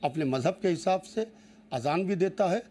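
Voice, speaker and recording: A man's voice: sits high, animated, normal speed, dark tone, slight background noise. A man making an emphatic, rhetorical statement for the news as a cleric. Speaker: cleric interviewee. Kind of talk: spoken statement to news camera.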